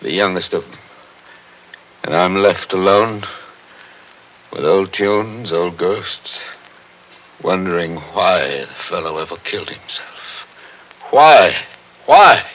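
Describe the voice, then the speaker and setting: An actor playing an older, wistful gentleman haunted by an old love, speaking formally and precisely in 1940s radio drama style. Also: deep voice, lively intonation, slow, long pauses, slight background noise. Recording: dull vintage 1940s radio recording.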